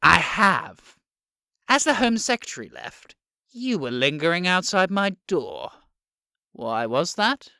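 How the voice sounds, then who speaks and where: A swooping, sharply bright voice for a smug Prime Minister, recorded close and dry. He drawls his sarcasm slowly, bullying with mock courtesy in audio drama style. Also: sits high, very animated, creaky, clean tone, no echo, heavily acted. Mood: mock-polite menace. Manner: drawling, sarcastic, stretching words with pauses.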